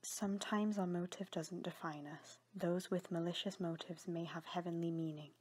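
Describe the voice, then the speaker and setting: A woman narrates scripted dramatic fiction. Her voice sits fairly low and is breathy and husky, in a storytelling style. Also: fairly even intonation, normal speed, darkish tone.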